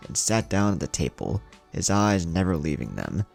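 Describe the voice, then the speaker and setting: A low-pitched man reads a story as a narrator, with a gravelly edge to his voice. His pitch moves around in a lively way, and music plays behind him. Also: moderate pace. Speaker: channel host and narrator. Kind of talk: fan fiction audiobook narration.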